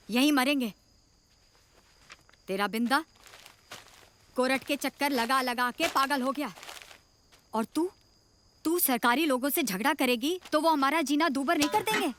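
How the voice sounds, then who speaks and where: A woman's voice: high voice, fairly bright tone, a little hiss or hum. An actress playing a worried, widowed middle-aged village mother in a screen drama, plain-spoken and plaintive. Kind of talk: naturalistic screen drama acting.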